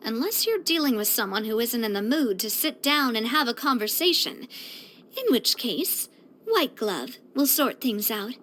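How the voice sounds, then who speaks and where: A woman voicing a bright, earnest, sociable young female leader in a video game, chatty and expressive. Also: on the high side, normal speed, slight background noise. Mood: cautioning, confident. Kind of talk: video game character voice lines.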